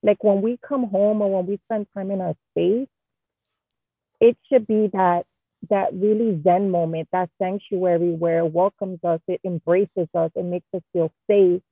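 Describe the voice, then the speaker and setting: A woman's voice: medium pitch, a little slow, husky, no room sound. Candid woman on a tinny dry line. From Queens, New York. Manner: candid, animated storyteller with slang. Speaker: guest interior designer. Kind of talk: casual conversational interview.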